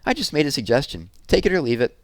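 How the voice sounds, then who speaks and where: A smug, bossy little girl from a storybook acted out by a man, pitched well above his usual voice. The delivery is breezy and taunting. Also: very animated, fast, dry recording. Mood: defensive, breezy.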